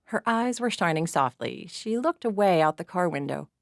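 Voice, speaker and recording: A woman reads a classic short story aloud in a measured, expressive way. Her voice sits a little low, and the recording is close and completely dry. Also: creaky, no room sound. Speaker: narrator. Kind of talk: scripted storybook narration.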